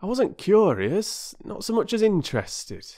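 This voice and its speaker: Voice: mid-range voice, sing-song, a little slow, a little mellow, pitched above the performer's own voice. A narrator voicing an indignant working-class railway porter from a children's storybook, lofty and aggrieved, with ungrammatical speech. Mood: grudging. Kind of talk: children's storybook character reading.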